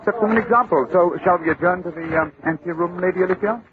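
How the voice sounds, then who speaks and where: A man playing a shrewd, dry, commanding gentleman detective in 1940s radio style, on a tinny, noisy recording. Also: high-pitched, fast talker, lightly acted. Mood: firm.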